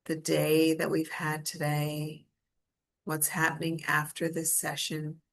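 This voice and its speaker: Very slow, low-pitched woman guiding meditation. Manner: soothing, gentle, invitational. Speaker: guided meditation leader. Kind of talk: guided meditation.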